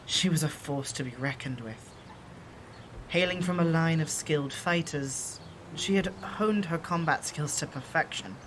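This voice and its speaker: A man's voice: a little high, a bit bright, poor audio. A voice actor, a man, playing a solemn, loyal exiled shapeshifter who speaks formally and earnestly in an audio drama. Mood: grave admiration. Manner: formal, measured, earnest speech. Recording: clear background noise and ambience.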